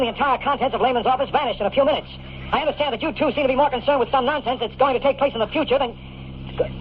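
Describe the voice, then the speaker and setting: Tinny old-radio hardboiled detective. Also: male voice, very high-pitched, slight background noise. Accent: American. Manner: sardonic, slangy, hard-boiled. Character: tough wisecracking male hardboiled private investigator. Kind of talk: 1950s radio drama acting and narration.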